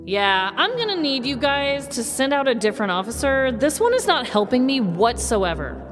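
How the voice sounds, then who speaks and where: A woman plays a demanding, indignant female caller in a storytelling podcast. Her voice is raised in pitch and very animated, full of pushy sass, with clear music underneath. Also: moderate pace. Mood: sassy, demanding. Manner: sassy, pushy.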